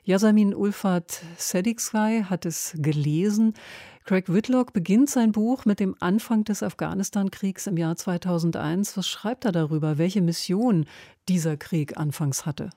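A woman's voice: mid-range voice, measured pace, mellow, dry recording. A woman, presenting and asking questions in a broadcast interview in a radio studio. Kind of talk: broadcast book-review interview, scripted introduction and questions.

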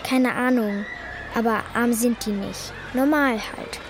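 A woman's voice: fairly high pitch, measured pace, close to an everyday voice. A woman playing a thoughtful, loyal and slightly defensive schoolgirl in a German children's radio play. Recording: clear outdoor street noise behind.

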